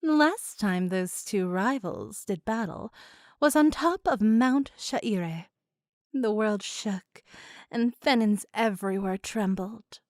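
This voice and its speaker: A woman's voice: medium pitch, lively intonation, takes it slowly, a little mellow, no echo, studio quality. A woman narrating a fantasy audio drama in a dramatic, teasing storyteller manner.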